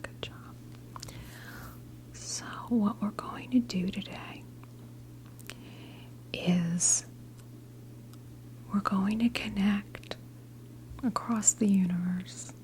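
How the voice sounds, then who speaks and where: An American woman in her fifties voicing a gentle, reassuring witch tarot reader in a breathy ASMR whisper. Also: mid-range voice, poor audio. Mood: soothing, reassuring. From New Jersey, United States. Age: 50s. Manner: slow, soothing, hesitant pauses, encouraging. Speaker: tarot card reader in role play. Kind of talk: ASMR whispered role play.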